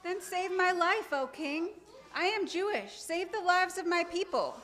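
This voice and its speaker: Woman as brave puppet-show queen, earnest and declamatory, extremely high pitch, distant hall sound. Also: expressive, a little slow, fairly bright tone, heavily acted. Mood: pleading. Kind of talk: live puppet show acting.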